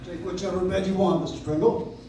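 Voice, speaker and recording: A man's voice: sits high, steady tone, quick pace, music in the background, close to an everyday voice. A man playing an earnest, easygoing young lawyer with wry humour in a live radio play. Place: coffeehouse stage, picked up from the audience. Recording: echoey faintly noisy room, distant microphone.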